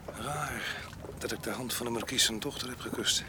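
An actor playing a rough, weary fugitive murderer in a radio drama, gruff and plain-spoken but fatherly to his comrade. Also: medium pitch, normal speed, background noise, lightly acted. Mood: urgent, fatherly.

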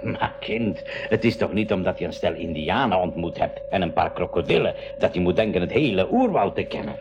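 An actor playing an enthusiastic, emphatic professor and expedition captain in a school radio play. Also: male voice, low voice, expressive, fairly quick, over music. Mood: indignant, jovial. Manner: exclamatory, emphatic. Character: enthusiastic adult professor, proud captain of his craft. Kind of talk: 1980s Dutch-language school radio play acting. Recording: noisy, dull 1980s radio recording.

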